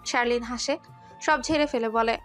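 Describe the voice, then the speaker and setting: A woman's voice: on the high side, normal speed, fairly bright tone, soft background music. A woman narrating a scripted romantic story.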